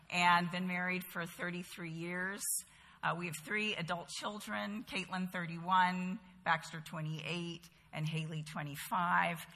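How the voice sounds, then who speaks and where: A woman in her fifties speaks with a light Southern accent. She leads a Bible study in a bright, clear tone, keeping things chatty and adding humorous asides. Her voice echoes through a large hall. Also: on the low side. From Bahamas, later North Carolina. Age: 50s. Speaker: women's Bible study teacher. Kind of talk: casual talk to a group, then spoken prayer and storytelling.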